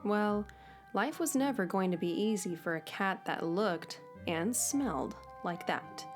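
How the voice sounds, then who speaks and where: Lady reading a picture book out loud, slow n playful, mid pitch, music in the back. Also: lively intonation. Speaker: storybook reader. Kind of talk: expressive children's read-aloud narration. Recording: clear background music.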